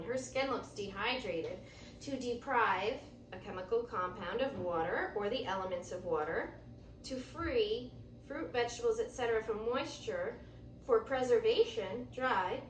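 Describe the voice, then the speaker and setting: A woman reading a children's picture book aloud expressively and explaining it in an instructive, teacherly way. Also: fairly high pitch. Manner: instructive, explains and points things out. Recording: roomy echo, distant mic, faint noise.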